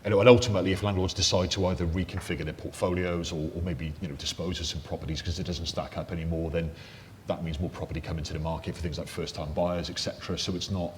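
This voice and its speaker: A man with a very low, deep voice speaks on a panel discussion, loose and conversational with plenty of fillers. The sound carries a long hall reverb with a faint hiss beneath it. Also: little pitch movement, quick pace. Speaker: mortgage lender panelist. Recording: echoey hall, faint noise.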